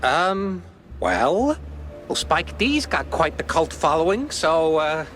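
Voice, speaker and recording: A man's voice: on the high side, big pitch swings, slow pace, a bit bright, faint music behind, full character voice. A man voicing a nervous, bumbling small-time rodent in a cartoon video game, with a hesitant, stammering delivery. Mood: hesitant, eager.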